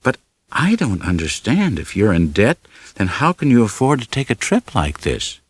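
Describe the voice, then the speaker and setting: A man's voice: fairly low pitch, huge pitch swings, normal speed, slightly rough, no room sound, voice pushed up, heavily acted. A man takes on an anxious young woman in love from a suspense audiobook. The voice is lifted above his usual register and presses its point insistently, in a dry recording. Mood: puzzled. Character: anxious, lovestruck woman of twenty-seven, pleading.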